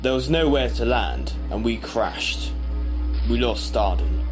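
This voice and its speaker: Grave male alien survivor, played by a man, medium pitch, amateur sci-fi audio drama, light music underneath. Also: animated, takes it slowly. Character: Drax, male blue-skinned alien, solemn stranded survivor. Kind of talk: fan audio drama acting.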